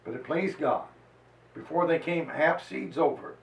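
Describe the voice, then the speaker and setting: A man giving a solemn, theatrical dramatized reading of a historical narrative, declaiming like a preacher with dramatic pauses and swells. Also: a little high, vocal fry. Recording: roomy echo, distant microphone.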